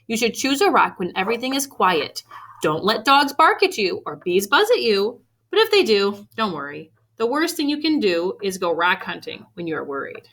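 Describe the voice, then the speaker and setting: A woman reading a picture book aloud to children expressively, with deliberate emphasis on key phrases. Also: a little high, fairly bright tone. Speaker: read-aloud storyteller for children. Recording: faint background noise.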